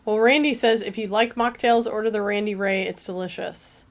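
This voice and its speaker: A woman's voice: mid-range voice, fairly even intonation, normal speed. Woman, casual livestream co-host, upbeat, chatty, reads comments aloud. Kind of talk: casual chat.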